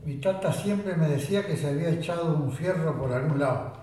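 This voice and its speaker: A man voicing a boastful rustic sergeant in a comic radio play, telling tall tales in a deadpan, mock-solemn way. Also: a little high, a little fast, close to an everyday voice. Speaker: radio drama actor. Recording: very echoey room, voice far from mic.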